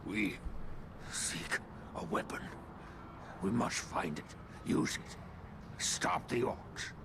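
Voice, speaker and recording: A man acting a dying dwarf warrior in a video game, weak and gasping, speaking in halting, broken phrases. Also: fairly low pitch, sing-song, heavily acted. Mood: weak, pleading. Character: dying, wounded dwarf warrior, defiant and pleading. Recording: loud noise behind the voice.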